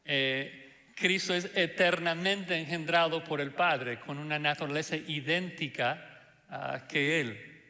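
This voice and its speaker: A man preaching a sermon as pastor, speaking deliberately with frequent "ah" fillers; Spanish is not his first language. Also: fairly high pitch, sharp tone. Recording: long hall reverb.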